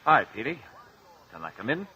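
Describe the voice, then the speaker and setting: A man's voice: mid-range voice, very animated, normal speed, sharp tone. A man with an American accent playing a falsely friendly, menacing gangster, casual and taunting, in a vintage crime radio drama. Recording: noisy old radio drama recording.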